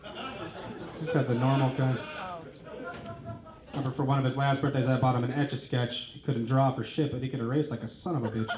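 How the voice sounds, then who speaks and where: A man's voice: mid-range voice, brisk, pauses often. A man performing a stand-up set on a comedy club stage, with crude, punchy jokes. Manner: crude, punchy joke delivery. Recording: echoey club with crowd noise. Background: audience crowd.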